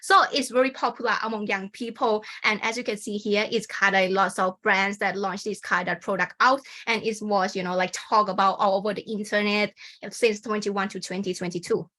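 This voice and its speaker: A young Thai woman with a Thai accent presents her research at a conference over a video call. Her voice is bright and clear, and her pitch sits in the middle range. She speaks informally, leaning on "like" and "kind of.". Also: fairly even intonation, moderate pace. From Thailand. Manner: informal, many fillers like "like" and "kind of". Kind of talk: academic presentation.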